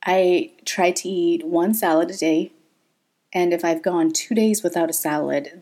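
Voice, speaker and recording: A woman's voice: fairly low pitch, a little mellow. A woman in her thirties, born in Thailand, talking candidly as a podcast host and laughing often. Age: 30s. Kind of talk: personal podcast monologue.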